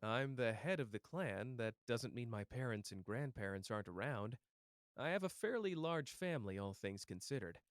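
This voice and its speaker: A man's voice: mid-range voice, animated, normal speed, close to an everyday voice. A cocky young sorcerer voiced by a man speaks with taunting, sardonic ease and loose slang. He is a protective clan head in an anime audio drama. His voice rings with long, hall-like reverb. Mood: subdued, tender. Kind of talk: character roleplay audio drama addressed to the listener.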